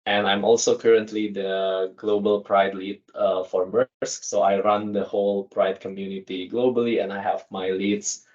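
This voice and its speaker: A man from Indonesia, in his thirties, talks as a podcast guest in an office meeting room. His voice sits fairly low and he speaks in quick, chatty bursts full of fillers and restarts. The sound is dull and lo-fi. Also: flat delivery, a little mellow. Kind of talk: casual conversational interview.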